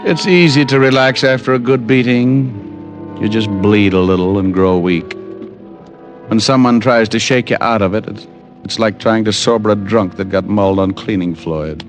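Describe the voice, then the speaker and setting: A cocky, wisecracking private detective, as an actor plays him in a vintage radio drama. His voice sits low, and his narration is dry and hard-boiled. Music plays behind him on the old broadcast. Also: normal speed, close to an everyday voice. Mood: wry. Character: wisecracking tough male private eye, dazed. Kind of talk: 1940s radio drama acting.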